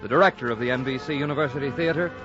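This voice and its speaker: An American man working as a radio announcer, reading a script formally in a 1940s broadcast style with measured diction. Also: medium pitch. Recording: old muffled radio broadcast, faint music.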